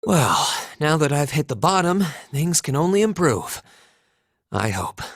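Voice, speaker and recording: An earnest young male student from a video game. He speaks slowly and gently, with a little husk and some hesitation, recorded close and dry. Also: fairly high pitch, sing-song, no echo, exaggerated voice. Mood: awkward, sincere. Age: young adult. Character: earnest, polite, mild-mannered teenage male student hero. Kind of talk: English video game voice acting.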